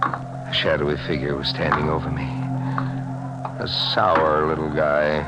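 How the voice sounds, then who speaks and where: A man's voice: extremely low pitch, lively intonation, takes it slowly, highs cut off, exaggerated voice. An actor playing a haunted, desperate seaman who has lost his identity, in an anguished 1940s radio drama style. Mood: weary, haunted. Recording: old radio recording with music.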